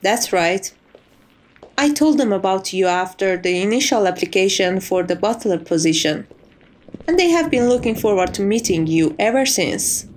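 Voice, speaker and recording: A woman's voice: medium pitch, a little hiss or hum, natural voice. A woman playing a composed, strict but fond chief maid in an ASMR roleplay, speaking formally and with polite condescension. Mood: formal, welcoming.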